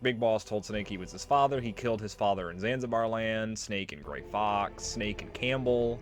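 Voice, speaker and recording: A man's voice: fairly low pitch, normal speed. A man giving casual, jokey let's play commentary on a video game, prone to goofing around. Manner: jokey, breaks into silly singing and goofing.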